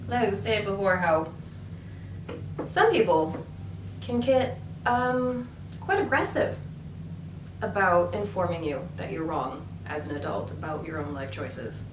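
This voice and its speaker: Female vlogger, low-ish voice, rambling casual chat; roomy, distant sound. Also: expressive, a little slow, pauses often, background noise. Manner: chatty, many fillers and restarts. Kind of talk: casual storytelling vlog. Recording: echoey room from a distance with faint ambience.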